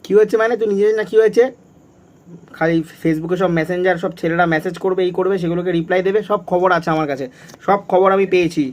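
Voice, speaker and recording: A man's voice: sits high, fairly even intonation, fast. A young man, talking casually and insistently, often repeating himself, as in a vlog or phone call. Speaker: prank video creator.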